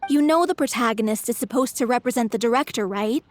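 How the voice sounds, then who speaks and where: Grieving troupe woman, high voice, serious and insistent, a woman voicing her. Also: quick pace, close to an everyday voice. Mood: grim. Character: grieving, earnest female troupe member. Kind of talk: game voice acting.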